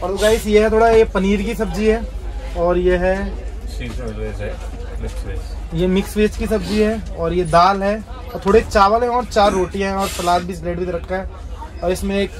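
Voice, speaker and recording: A man's voice: high voice, steady tone, moderate pace, long pauses, low-quality recording. A man in his thirties chatting to camera as a travel vlogger, filming himself with a phone at arm's length inside a train coach. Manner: chatty, calls himself "your brother", addresses viewers as guys. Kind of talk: casual vlog narration to camera. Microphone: phone held at arm's length, selfie framing.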